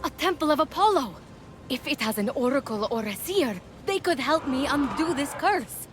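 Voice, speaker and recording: A brave young warrior heroine in a fantasy game, with a high voice that swings widely in pitch. She is eager, earnest and expressive, with strong music playing behind her. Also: normal speed. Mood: eager, wondering. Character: Fenyx, eager, brave young female Greek soldier. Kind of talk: video game character acting.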